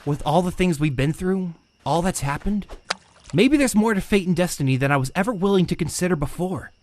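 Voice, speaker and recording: A man's voice: high-pitched, lively intonation, brisk, darkish tone, slight background noise. A man playing a brainy, anxious young mutant turtle in an audio comic drama, casual and thoughtful.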